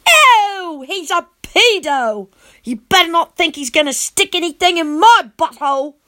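Woman reading as hot-headed young schoolboy wizard; shrill, high, loud. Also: animated, unhurried, exaggerated voice. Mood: furious. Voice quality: shrill, shouting.

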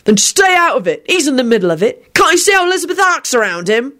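A woman's voice: high-pitched, sing-song, quick pace, a bit bright, voice pushed up. A woman voicing an indignant, hot-headed young man in an audiobook. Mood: threatening. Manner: demanding, indignant.

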